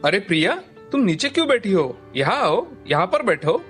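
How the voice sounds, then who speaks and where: A man's voice: sits high, very animated, normal speed, fairly bright tone. A man voicing an earnest, stubborn young man, a pampered lovestruck son in a cartoon story. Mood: affectionate. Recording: music bed behind the voice.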